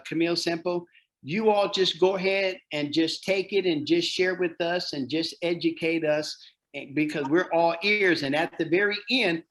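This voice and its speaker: A man's voice: fairly high pitch, a bit bright. A man hosting an online company call, introducing guests in an upbeat, repetitive way. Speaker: host.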